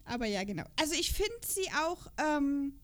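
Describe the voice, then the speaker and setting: A woman's voice: high voice, big pitch swings, moderate pace. A woman co-hosting a podcast, chatting casually about an audio drama in a rambling, self-correcting way. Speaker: podcast co-host.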